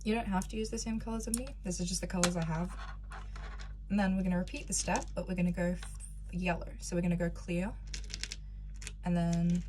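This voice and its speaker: A young woman recovering from a cold, calmly walking viewers through a beading craft step by step. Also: on the low side, a little fast, long pauses, background noise. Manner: calm, patient instructions, counting out beads. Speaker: craft tutorial maker.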